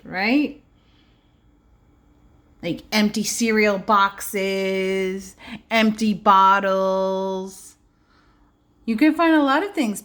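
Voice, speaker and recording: A woman preschool teacher reading aloud to young children, adding simple explanations and checking in with questions. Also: mid-range voice. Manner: simple child-directed phrasing.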